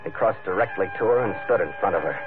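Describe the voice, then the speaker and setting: An actor playing a terse, wry sea captain in a 1940s-style radio adventure, both narrating and speaking in scenes. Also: male voice, low voice, fast, noisy background, close to an everyday voice. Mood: moody narrating. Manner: terse, sardonic, tough-guy delivery. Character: hard-boiled, wry sea captain telling his adventure. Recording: narrow vintage radio recording, music behind.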